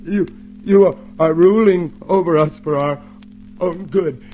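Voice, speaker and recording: An actor playing a broken, tormented prisoner in a radio drama, halting and anguished, desperate but still defiant. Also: male voice, high voice, lo-fi sound, faint music behind. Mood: halting, pleading. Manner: halting, anguished, repeating words. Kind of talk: mid-century radio drama acting.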